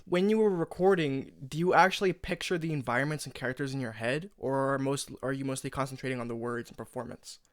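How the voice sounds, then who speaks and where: Man, smooth clear voice, casual interviewer, informal hesitant phrasing, close dry sound. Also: medium pitch, quick pace, hardly any pauses, no echo. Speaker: interviewer and audio drama creator.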